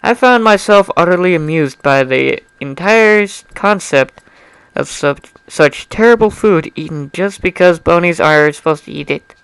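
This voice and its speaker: A man's voice: a little high, expressive, unhurried, vocal fry, fairly bright tone, smooth, dry recording, poor audio. A young man giving an amateur dramatic reading of fan fiction, informal and often breaking off into chatty, apologetic asides. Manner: informal, self-interrupting, apologetic.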